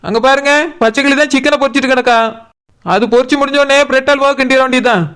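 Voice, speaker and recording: A woman's voice: high voice, fairly even intonation, quick pace, fairly bright tone, clear, resonant tone. A woman voicing an overworked, complaining young female cook in a comedy cartoon, whining and pleading in colloquial Kanyakumari Tamil. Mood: explaining, defensive. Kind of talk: comic cartoon dialogue.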